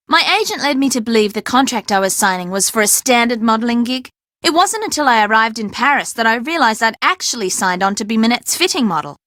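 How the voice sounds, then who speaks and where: A chatty young woman for a video game, a playful fibber with sass and brains. Her voice sits fairly high and swings widely in pitch with emphatic drama. The sound is very dry, with no room around it. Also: a bit bright, dry recording. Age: young adult. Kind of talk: video game character dialogue.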